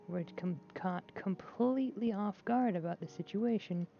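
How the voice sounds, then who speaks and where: Gravelly-voiced woman rambling on a dull mic. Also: lowish voice. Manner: rambling, hesitant, with many restarts and filler words; candid and self-deprecating. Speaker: gaming vlogger. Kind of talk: casual chat while playing a game. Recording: dull lo-fi mic with faint noise.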